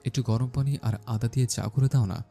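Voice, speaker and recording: A man's voice: mid-range voice, fairly even intonation, brisk, mellow, lightly acted. A man playing a bossy but caring young husband in an audio story, with a firm manner. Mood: hushed, awkward. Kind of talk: Bengali audio story acting.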